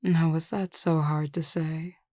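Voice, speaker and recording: Woman playing a mellow, teasing, flirty werewolf woman. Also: low-pitched, little pitch movement, no echo, studio quality. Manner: teasing, unhurried, casually confident. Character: flirty, teasing, self-assured werewolf pack beta woman. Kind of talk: scripted audio roleplay acting.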